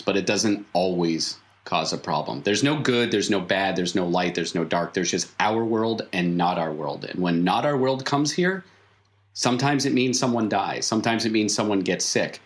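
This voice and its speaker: Guy doing a cryptic clown mentor in a tabletop rpg, stern n solemn, lowish voice. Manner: solemn, emphatic, speaks in parallel statements.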